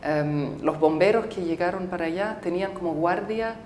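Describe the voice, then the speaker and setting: Low-voiced woman filmmaker in a roomy studio. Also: a little mellow, a little hiss or hum. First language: English. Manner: thoughtful, halting, with frequent fillers and restarts. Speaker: filmmaker interviewee. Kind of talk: casual interview answers.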